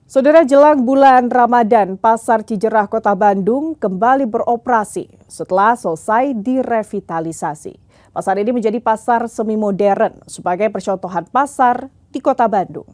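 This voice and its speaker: A woman's voice: on the high side, normal speed, a little mellow, no echo. Female news presenter, scripted delivery.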